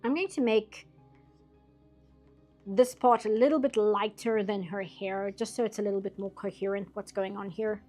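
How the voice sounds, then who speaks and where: A woman from Britain chatting casually while drawing on a stream, rambling and laughing often, her voice getting a bit hoarse late at night. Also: medium pitch, steady tone, normal speed, darkish tone, faint music behind.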